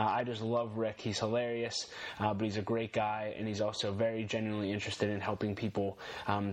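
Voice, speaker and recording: A man hosting a podcast, who introduces his guest earnestly and appreciatively and then asks the interview questions. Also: fairly low pitch. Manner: earnest and appreciative, with light laughs.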